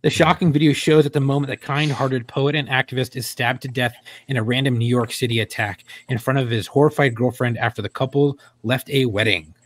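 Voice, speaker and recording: A man talks casually as a podcast co-host. His voice sits at a medium pitch, and the sound is dry with little room in it. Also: steady tone, fairly quick, few pauses, no echo. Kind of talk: casual chat. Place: home room, on a video call.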